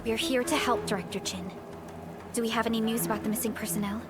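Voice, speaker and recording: A woman voicing a composed, caring young field supervisor in a video game, measured and formal, with music playing. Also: a little high, fairly even intonation, very noisy, lightly acted. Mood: calm, businesslike.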